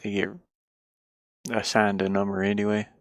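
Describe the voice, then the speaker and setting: A game developer chatting casually and haltingly while working at a computer, murmuring half to themselves. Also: sits low, monotone, dry recording, clean studio sound. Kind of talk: casual screen-share chat while working. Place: inside a van.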